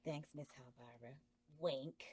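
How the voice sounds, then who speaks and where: A man voicing a doting female doll in a breathy, cooing falsetto. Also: steady tone, measured pace, a little mellow.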